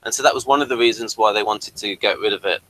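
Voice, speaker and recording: Bright-voiced man, halting and rambling. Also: fairly low pitch, poor audio. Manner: halting, rambling, repeats and restarts phrases. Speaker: podcast co-host. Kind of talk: casual fan discussion with behind-the-scenes trivia.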